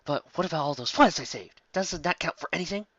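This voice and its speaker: A man reading a fan story aloud, voicing a brash, dramatic male starship captain who protests in overwrought shouts. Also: on the high side, lively intonation, quick pace, no room sound, muffled recording, voice pushed up. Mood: bewildered.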